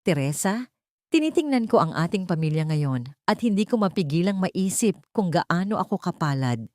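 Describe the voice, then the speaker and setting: A woman's voice: fairly low pitch, very animated, moderate pace, mellow, no echo, clean studio sound. A woman voicing a tender, grateful father in a storybook drama. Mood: tender gratitude.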